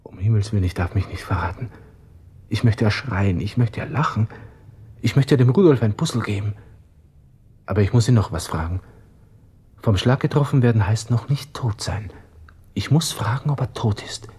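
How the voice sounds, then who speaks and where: Husky low-voiced young viennese army officer, hot-headed and self-absorbed, nervy muttering to himself, radio play. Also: male voice, animated, normal speed, long pauses, darkish tone. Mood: tense, suppressed excitement. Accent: Viennese Austrian. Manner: agitated muttering to himself, switching to feigned casualness. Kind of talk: radio drama interior monologue.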